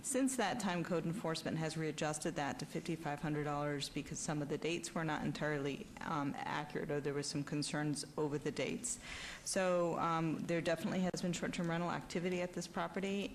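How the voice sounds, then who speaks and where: A woman deputy planning director giving a measured, official staff report at a council meeting. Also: low voice, steady tone, a little hiss or hum. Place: city council chamber.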